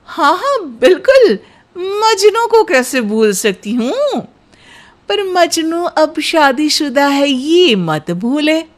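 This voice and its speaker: A woman voicing a jealous, possessive young wife in a cartoon story, with a dramatic, sharp-tongued, teasing delivery. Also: sits high, sing-song, slow pace, voice pushed up, full character voice. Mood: sarcastic teasing. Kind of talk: cartoon storybook character acting.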